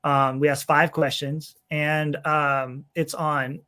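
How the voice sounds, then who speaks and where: A man chatting informally as an interview guest, rambling a little and modest about himself, with a slightly high voice for a man. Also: little pitch movement, slow pace, pushed and bright. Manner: self-deprecating, rambling, informal. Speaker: podcast guest, church executive minister and author. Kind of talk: casual conversational interview.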